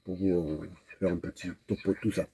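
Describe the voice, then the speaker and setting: A man's voice: deep voice, steady tone, moderate pace, mellow, a little hiss or hum. A man vlogging in a casual, rambling monologue, talking straight to the viewer and often repeating words while he searches for the next one. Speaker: nomad vlogger.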